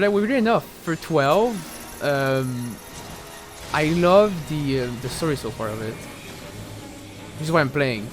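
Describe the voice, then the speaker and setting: A young man with a French accent, a native French speaker, chatting and rambling casually while streaming a game, with many fillers and restarts. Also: mid-range voice. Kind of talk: casual live gaming commentary.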